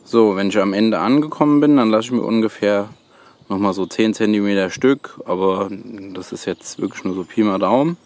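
A man giving a hands-on how-to demonstration outdoors, in halting, hesitant phrases. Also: fairly low pitch, a little mellow. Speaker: host of a bushcraft tutorial channel. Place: outdoors on a woodland floor, birdsong behind.

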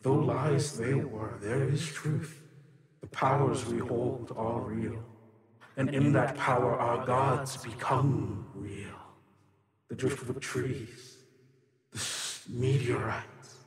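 A man voicing an ancient, bitter, otherworldly sister in a tabletop game, solemn, halting and dramatic. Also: mid-range voice, a loud crowd, lightly acted. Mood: fervent. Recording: long reverb on the voice.

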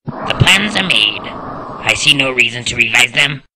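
A man's voice: mid-range voice, expressive, a little slow, gravelly. An aged, evil emperor dismisses others with haughty scorn. His words are drawn out, in a noisy game cutscene recording. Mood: cold contempt. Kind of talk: video game cutscene acting.

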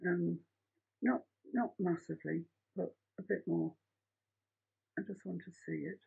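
A woman's voice: fairly low pitch, fairly even intonation, measured pace, vocal fry, slightly rough. A middle-aged woman teaching a painting tutorial from her home studio in a chatty, rambling, practical way. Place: home art studio, indoors. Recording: muffled lo-fi home recording.

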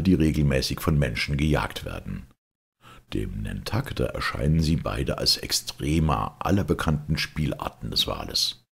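Very deep, gravelly male narrator. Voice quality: gravelly, husky. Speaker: audiobook narrator. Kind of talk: scripted literary narration.

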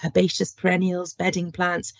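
A woman lecturer with a slight cold talks through an online careers presentation, pausing often on ums. Her voice sits low and gravelly, close and dry. The sound is a little dull, like a video call. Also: completely flat delivery, no room sound. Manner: frequent ums, friendly explanatory. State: has a cold and cough. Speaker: horticulture lecturer and curriculum lead. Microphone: webcam or headset on video call.

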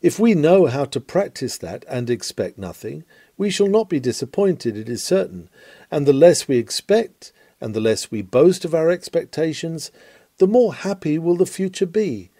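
A man reading a written sermon in an oratorical style, with dramatic emphasis and measured phrasing. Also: a little high, dark tone, no echo. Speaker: sermon reader.

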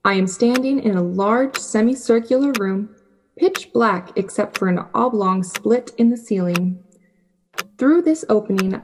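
A woman's voice: mid-range voice, lightly acted. Woman playing a formal radio reporter, noisy ticking backdrop. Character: composed female radio reporter interviewing an astronomer. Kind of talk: 1930s radio acting, scripted commentary. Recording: clearly noisy track with ticking.

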